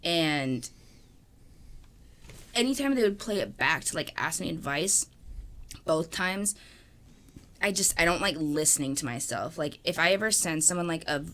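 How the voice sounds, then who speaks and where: A woman's voice: deep voice, expressive, measured pace, long pauses, a bit bright. A young woman co-hosting a podcast, rambling in casual chat with lots of fillers and swearing. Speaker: podcast co-host.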